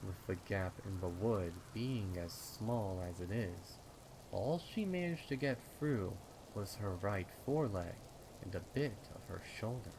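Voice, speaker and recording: A man narrating a horror story dramatically, with deliberate, suspenseful phrasing. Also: on the low side, animated, background noise. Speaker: fan fiction narrator.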